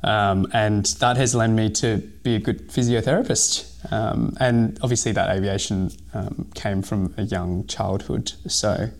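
Gravelly man from Hong Kong, rambling. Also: fairly low pitch, steady tone, unhurried, hardly any pauses. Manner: rambling, many fillers and restarts. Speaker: guest, physiotherapist and pilot. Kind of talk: casual podcast interview.

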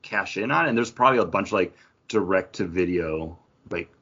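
A man with a fairly low, slightly husky voice chats casually as a podcast co-host, hedging as he thinks aloud. His pitch swings around in a lively way. The recording is a bit dull. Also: brisk. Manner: hedging, peppered with "like". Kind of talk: casual chat, musing over trivia answers. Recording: dull, band-limited lo-fi audio.

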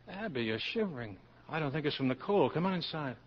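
A man's voice: on the high side, lively intonation, moderate pace, noisy background, natural voice. A man in a 1940s radio drama playing a playful college professor in love, teasing and tender. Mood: teasing, affectionate. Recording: dull vintage radio recording, faint music.

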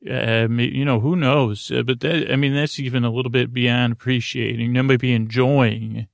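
Creaky voiced guy rambling a bedtime podcast, keeps tripping over his own sentences, super dry close sound. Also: medium pitch, fairly even intonation, no echo. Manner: meandering, halting, self-interrupting. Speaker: podcast host.

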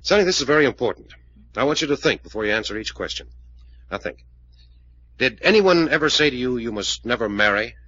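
An actor playing an intense, driven investigator in a 1940s radio drama, questioning in an urgent, commanding way. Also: male voice, mid-range voice, animated, a little fast, fairly bright tone. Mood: grave, probing. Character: intense, driven male investigator puzzling out a case. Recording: muffled old radio broadcast recording.

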